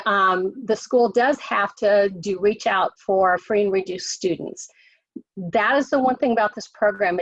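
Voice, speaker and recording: A woman official with an American accent, hoarse from a cold, briefing on a conference call, halting with many ums and restarts. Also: mid-range voice, dry recording. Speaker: state education official. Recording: dry muffled call audio.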